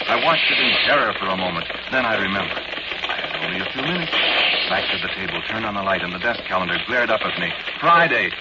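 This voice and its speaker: A man playing a deep-voiced, wry old-time radio doctor. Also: natural voice. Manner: dry, sardonic, measured. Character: wry male inventor doctor, drinking, wary. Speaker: narrator and lead character. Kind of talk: 1940s radio drama narration. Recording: dull lo-fi 1940s radio recording with loud hiss.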